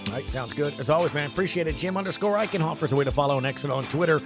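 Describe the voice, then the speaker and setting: A man's voice: on the high side, rapid-fire, music in the background. A man hosting a podcast with casual, punchy sports talk full of rhetorical questions. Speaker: podcast host.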